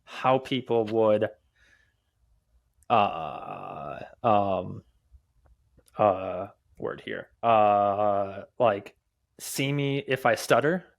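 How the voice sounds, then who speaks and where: A young man who stutters, answering interview questions candidly as a podcast guest, with halting restarts, repeated words and many fillers. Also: fairly low pitch, expressive, vocal fry. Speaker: podcast guest, mobile developer and conference speaker.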